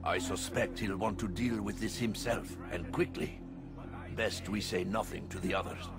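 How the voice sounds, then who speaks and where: A man's voice: lowish voice, steady tone, normal speed, over music, lightly acted. An actor playing a stern, persuasive male conspirator in a video game, terse and commanding. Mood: conspiratorial. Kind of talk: video game cutscene dialogue.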